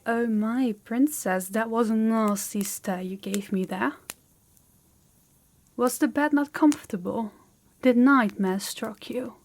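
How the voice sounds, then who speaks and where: A woman's voice: fairly high pitch, darkish tone. A woman playing a commanding, haughty young princess in a scripted fantasy audio roleplay, speaking in an imperious, condescending way. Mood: teasing, haughty.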